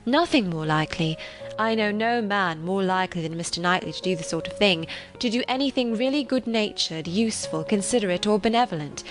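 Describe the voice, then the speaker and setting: A woman voicing a spirited, opinionated young gentlewoman in an audiobook, with emphatic, exclamatory delivery and formal period phrasing. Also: mid-range voice, animated, fairly quick, soft background music, close to an everyday voice. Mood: assured, approving.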